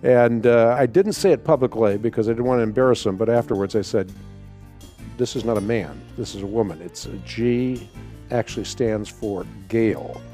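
A man's voice: lowish voice, normal speed, warm tone, faint music behind. A man whose first language is Dutch, hosting a radio show and answering a caller's questions with emphatic talk and frequent repeated words. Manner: emphatic, repeats words when starting sentences. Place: radio studio.

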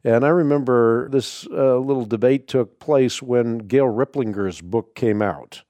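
A man's voice: medium pitch, measured pace, mellow, dry recording. A middle-aged man whose first language is Dutch, hosting a call-in radio show and answering questions emphatically, often repeating words as he thinks. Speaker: radio host. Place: radio studio.

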